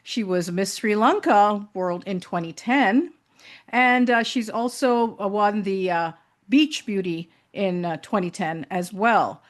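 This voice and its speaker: A woman's voice: medium pitch, slow. A woman hosting a talk show, setting up her guest in a chatty, unscripted way. She often trails off with fillers and restarts before she picks up her thought again. Her voice sits in a medium range. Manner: warm-hearted, chatty, with many fillers. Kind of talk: interview hosting.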